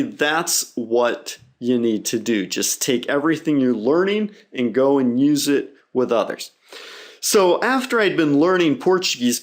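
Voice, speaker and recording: A man in middle age explains how to learn a language, unhurried and deliberate. His voice sits at an ordinary middle pitch. He talks like a teacher walking students through a method, step by step. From English-speaking country. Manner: measured, steady explaining. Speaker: English teacher.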